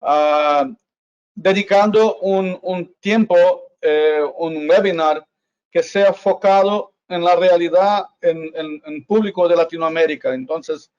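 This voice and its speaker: A Brazilian physician whose first language is Portuguese speaks hesitant Spanish with many fillers on a video call. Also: male voice, on the high side, slow pace, a little mellow, clear, resonant tone. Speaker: physician panelist. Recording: dull lo-fi video call audio.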